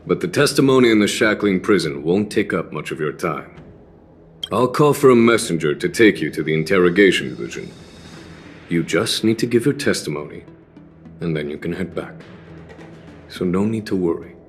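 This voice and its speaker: An actor playing a calm, courteous, reassuring general in a video game. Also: male voice, low voice, moderate pace, faint music behind. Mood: apologetic, reassuring. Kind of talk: English game voice acting.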